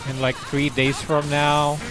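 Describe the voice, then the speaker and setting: Slow-talking young man streaming a game. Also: fairly high pitch, monotone, a crowd in the background. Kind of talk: casual live gameplay commentary. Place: small indoor room, streaming setup. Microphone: close desk microphone.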